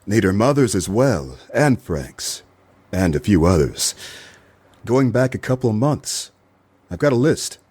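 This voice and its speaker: Man playing a low-voiced, dry noir private eye. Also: unhurried, slightly rough, no room sound, full character voice. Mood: earnest, persuasive. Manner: dry, deadpan. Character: weary, suspicious male private detective, dryly sardonic. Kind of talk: noir radio play acting and narration.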